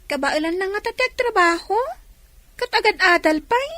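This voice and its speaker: A woman's voice: very high voice, lively intonation, normal speed, sharp tone, no echo, exaggerated voice. An actress playing a dutiful young schoolgirl with a plaintive, childlike manner and an Ilocano accent in a radio drama.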